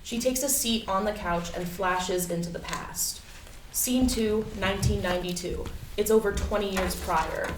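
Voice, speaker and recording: Female stage-direction reader, mid-pitched, scripted narration, picked up from a distance in an echoey room. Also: normal speed. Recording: echoey room, distant mic, faint noise.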